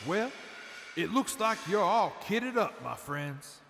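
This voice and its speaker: A man playing a proud, showy master armorer in an audio drama, talking like an assured, flattering salesman. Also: sits high, takes it slowly, creaky, heavily acted. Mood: smug. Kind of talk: post-apocalyptic audio drama acting. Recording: long hall reverb, faint background noise.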